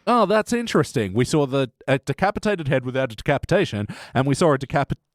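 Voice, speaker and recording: A man's voice: medium pitch, lively intonation, fast, no room sound, studio quality. A man co-hosting a podcast in casual review chat, amused and given to wry asides. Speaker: podcast co-host.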